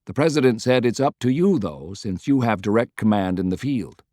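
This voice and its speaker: A man's voice: lowish voice, expressive, moderate pace, warm tone, no room sound, clean studio sound, lightly acted. Man playing an earnest, confiding political adviser. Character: loyal, good-humored male political adviser, frank and measured.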